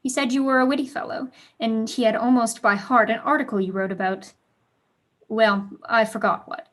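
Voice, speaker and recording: A woman's voice: on the high side, fairly even intonation, normal speed, lightly acted. A woman playing a precocious, passionate teenage pupil in a staged period play, eager, witty and argumentative. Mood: eager, gossipy.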